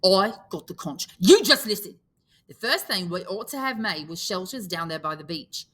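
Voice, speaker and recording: A woman's voice: medium pitch, expressive, normal speed, bright, forceful tone, pitched above the performer's own voice. A woman voicing a fretful, indignant schoolboy who talks in pleading, breathless outbursts.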